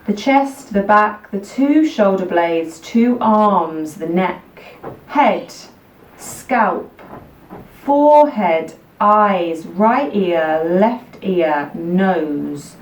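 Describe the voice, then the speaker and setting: A woman teaching a guided relaxation practice for children, speaking soothingly in unhurried, repetitive instructions with gentle pauses. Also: medium pitch, animated. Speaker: yoga nidra teacher. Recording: roomy echo, distant microphone.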